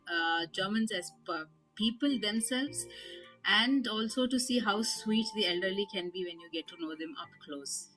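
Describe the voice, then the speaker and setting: A woman's voice: mid-range voice, a bit bright, poor audio. A young woman from India with an Indian accent, a doctor giving an earnest personal testimony with frequent fillers. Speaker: doctor, project participant.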